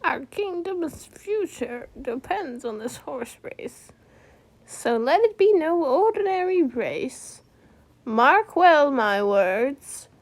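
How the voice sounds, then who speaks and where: A woman's voice: sits high, very animated, slow, full character voice. A woman voicing an injured, solemn old rooster king with a commanding manner in a storybook tale. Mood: solemn decree. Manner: grave, commanding.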